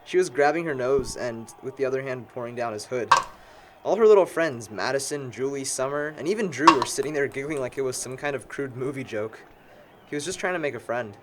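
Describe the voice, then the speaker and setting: A man's voice: mid-range voice, fairly quick, heavy background noise, lightly acted. A man playing a sarcastic, needling young man among longtime friends in an amateur audio drama. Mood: reminiscing.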